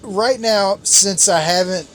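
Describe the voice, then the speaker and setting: A man's voice: high-pitched, slow pace, a little hiss or hum. Thirty-something man chatting on a boat. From Chesapeake Bay area, US. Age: 30s. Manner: relaxed, rambling, with restarts and laughs. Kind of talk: casual chat. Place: on a boat out on the water.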